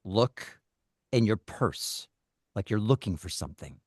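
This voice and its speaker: A man's voice: fairly low pitch, animated, a little slow, darkish tone, breathy edge, dry recording, studio quality. A man playing a cruel, volatile kidnapper in a script read, curt and commanding. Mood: cold, controlling. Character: menacing male kidnapper, volatile and cruel, on the phone. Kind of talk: dramatic script table read.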